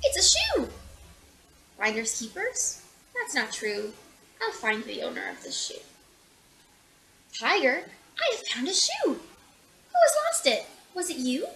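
A young woman reading a children's picture book aloud with expressive storytelling. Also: high-pitched, a little slow, gravelly, long pauses, poor audio. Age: young adult. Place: small room indoors.

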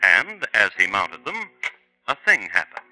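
Wry, lively man narrating old radio crime. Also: lowish voice, unhurried, faint music behind, rough recording. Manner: dry, wry storytelling. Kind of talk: scripted 1950s radio narration.

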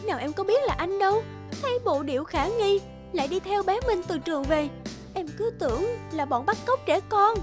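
High-voiced fretful cartoon mother. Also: expressive, moderate pace. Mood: apologetic. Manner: fretful, exclamatory. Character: anxious, overprotective, quick-tempered mother of a young boy. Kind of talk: cartoon voice acting. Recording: music behind the voice.

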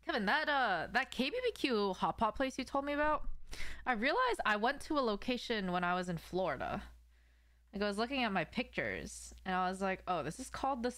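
A woman's voice: medium pitch, fairly bright tone. A young woman chatting casually and slangily as a live streamer, a non-native English speaker, close on a desk mic at home. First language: not English. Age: young adult. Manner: informal, slangy. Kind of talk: casual live-stream chat, reading and answering viewers. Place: small indoor room at home. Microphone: desk microphone on an arm, close.

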